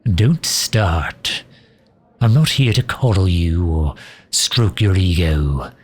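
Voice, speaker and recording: A man playing a sardonic, loyal fantasy mage advisor in an intimate ASMR roleplay, dry and grumbling, with a husky, breathy delivery. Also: low voice, heavily acted. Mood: snappish. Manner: dry, grumbling, sharp-tongued, with hesitant breaks.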